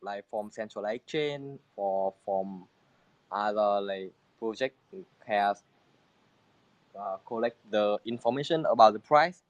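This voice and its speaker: A man from a crypto project answering questions in halting English, restarting his sentences often. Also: lowish voice, no room sound, lo-fi sound. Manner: halting non-native English with many restarts. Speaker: crypto project team member.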